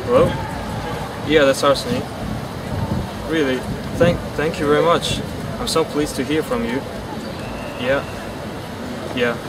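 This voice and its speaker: A man's voice: on the high side, slow pace, long pauses. A man playing a modest, polite young job applicant in an amateur sketch, speaking in an understated way. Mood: modest, grateful. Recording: distant mic with loud traffic-like outdoor noise.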